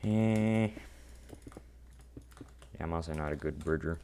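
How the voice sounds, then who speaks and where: A teenage boy with an extremely low, deep voice and a gravelly edge talks through his gameplay. He rambles awkwardly and keeps putting himself down. A faint hiss sits under the recording. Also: slow pace, mellow. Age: teens. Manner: rambling, self-deprecating, awkward. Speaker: gaming channel host. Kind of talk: casual gameplay commentary.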